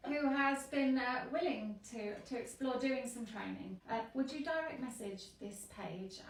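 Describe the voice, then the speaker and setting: A woman vicar hosting an interview, chatty and lively, quick to laugh. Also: on the high side, roomy sound, recorded from a distance, a little hiss or hum, low-quality recording. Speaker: vicar and host.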